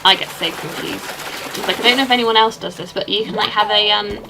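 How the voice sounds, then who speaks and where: A woman's voice: medium pitch, brisk, background noise. A young woman with an English accent, chatting casually and rambling while she plays a game and answers her chat. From England. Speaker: streamer.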